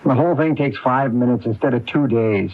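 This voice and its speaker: An actor playing a nervous, evasive and resentful younger brother in a radio mystery drama, with a husky voice. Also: male voice, medium pitch, brisk, close to an everyday voice. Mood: defensive. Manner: evasive, defensive. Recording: tinny, band-limited AM radio broadcast recording.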